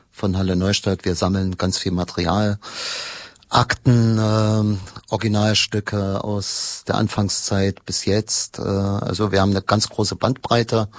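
A middle-aged man from eastern Germany answering interview questions in a halting way, with frequent pauses. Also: lowish voice, no room sound. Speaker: local historian, history workshop leader.

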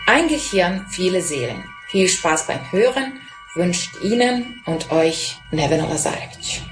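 Lower-pitched female radio presenter over music. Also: sing-song, measured pace. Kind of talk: scripted radio introduction.

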